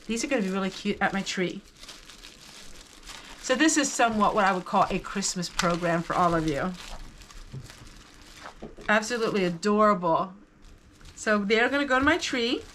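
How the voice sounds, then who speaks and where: A woman's voice: medium pitch, normal speed, long pauses, a bit bright, slight background noise, rough recording. A woman hosting a vlog, chatting casually to viewers about items she shows, in an enthusiastic and appreciative manner. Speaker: vlog host. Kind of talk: casual haul chat to viewers.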